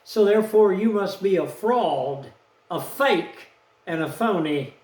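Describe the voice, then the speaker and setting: Old man, 70s, folksy, hurt, unhurried, echoey room, distant mic. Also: sits high, expressive, a little mellow. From Texas, USA. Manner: slow, aggrieved, folksy. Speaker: vlogger. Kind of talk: rambling personal storytelling.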